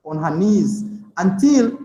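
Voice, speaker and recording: A man's voice: high-pitched, animated, takes it slowly, warm tone. A young man, a guest telling stories in a casual interview, rambling with lots of fillers and restarts. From Kenya. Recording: echoey room with long reverb.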